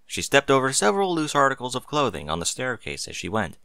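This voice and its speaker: Casting: male audiobook narrator, expressive and lively, mid-pitched, dry close recording. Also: fast, creaky, dry recording. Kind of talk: expressive scripted story narration.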